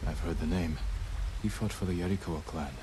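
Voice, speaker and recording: Game cutscene, male actor as resolute young samurai, deep grave voice, clipped commands, noisy rainy backdrop. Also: brisk, dark tone, natural voice. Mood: grave, questioning. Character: young stern samurai lord, resolute and protective. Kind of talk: dramatic game cutscene acting. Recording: noisy outdoor rain ambience.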